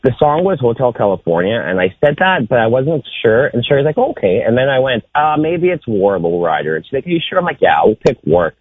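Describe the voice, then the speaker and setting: A man's voice: big pitch swings, a little fast, few pauses, dry recording. Joking man on a tinny phone line. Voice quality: raspy deep voice. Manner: joking, hums and sings song snippets. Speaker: caller. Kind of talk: casual radio call-in game.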